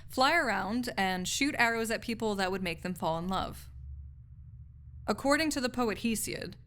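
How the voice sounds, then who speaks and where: A woman's voice: mid-range voice, lively intonation, normal speed, fairly bright tone, natural voice. A woman playing a snarky, skeptical university research assistant in an audio roleplay, speaking articulately in a conversational, lecturing way with dry asides. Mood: wry, lecturing.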